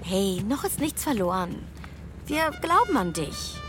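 A woman's voice: mid-range voice, very animated, a little slow, over music. An actress playing a supportive but no-nonsense woman confidante in an audio drama. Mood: encouraging. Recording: noticeable noise around the voice.

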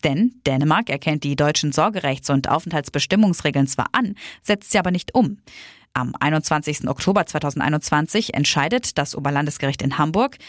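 A woman presenting news stories in a scripted, lively news-reading style. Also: lowish voice, no room sound, studio quality.

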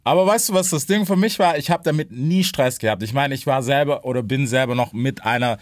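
Male host from the US, easygoing slang talker, mid pitch, dry recording. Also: brisk, dry recording. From United States. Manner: laid-back, street slang. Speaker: interviewer, host. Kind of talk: casual interview chat.

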